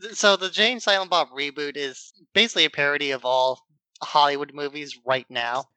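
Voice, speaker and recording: Bright-voiced man chatting about films. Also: a little high, brisk, smooth, no room sound, poor audio. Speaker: podcast co-host. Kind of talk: casual film discussion.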